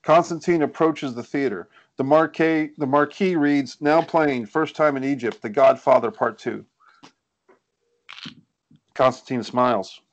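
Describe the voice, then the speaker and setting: Mid-pitched man reading stage directions. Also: measured pace, highs cut off. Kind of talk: scripted narration. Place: indoors on a group video call.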